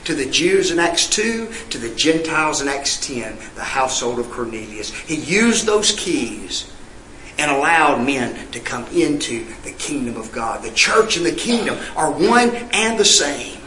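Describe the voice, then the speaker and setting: A man's voice: on the high side, sing-song, a little slow, bright, forceful tone, reverberant, a little hiss or hum, rough recording. A male preacher, delivering an emphatic sermon full of rhetorical questions and scripture read in old-fashioned wording. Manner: reads scripture in King James wording.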